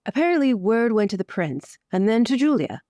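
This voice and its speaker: A woman's voice: fairly high pitch, very animated, brisk, dark tone, no room sound. Woman as a wry noblewoman, close dry sound. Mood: curious. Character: wry, resigned noblewoman, cousin of a lord. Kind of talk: improvised in-character roleplay.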